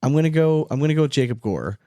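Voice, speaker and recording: A man's voice: fairly high pitch, expressive, quick pace, dark tone, no room sound, studio quality. A man chatting casually as the host of a sports podcast.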